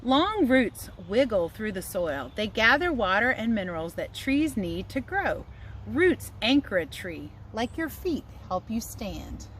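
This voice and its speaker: A woman teacher giving a chatty, expressive read-aloud lesson for young children, speaking outdoors. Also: fairly high pitch, a little slow, slight background noise. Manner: chatty, expressive asides and questions to listeners. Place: outdoors in a yard.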